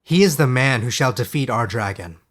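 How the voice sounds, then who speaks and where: A man voicing a scholarly male wizard in a fairy-tale audiobook, formal, sincere and quietly resolute. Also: medium pitch, lively intonation, normal speed, lightly acted. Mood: quietly firm.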